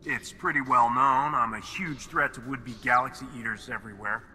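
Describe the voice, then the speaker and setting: An actor playing a cocky, roguish starship captain with dry humor in a video game cutscene. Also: male voice, medium pitch, moderate pace, pushed and bright. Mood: wry. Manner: dry, wisecracking. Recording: clear music under the voice.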